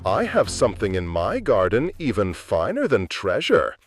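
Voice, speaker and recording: A man voicing a falsely kind older male neighbor in a suspense story, with a smooth, cooing, gentle murmur. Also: on the low side, wildly swooping pitch, normal speed, faint music behind. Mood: coaxing. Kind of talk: storybook suspense character dialogue.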